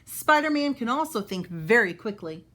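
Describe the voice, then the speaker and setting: Animated woman reading a children's book aloud. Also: on the high side, moderate pace. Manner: expressive, with explanatory asides for young listeners. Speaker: read-aloud storyteller.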